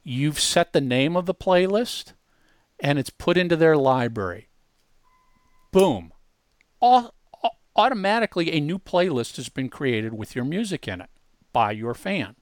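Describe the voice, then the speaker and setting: A man's voice: on the high side, big pitch swings, unhurried, pauses often, no echo. Guy walking thru a music marketing tool on screen, casual, step by step, really dry sound no room. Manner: informal, explanatory, step by step. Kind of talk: casual screen-share tutorial.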